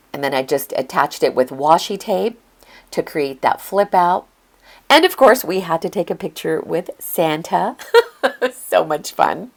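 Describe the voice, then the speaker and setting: Older woman, low-pitched, slightly hoarse, chatty, gushing, casual narration. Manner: chatty and gushing, with occasional throat clearing. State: slightly hoarse, recovering from illness. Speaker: junk journal crafter showing her journal. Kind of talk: casual flip-through narration.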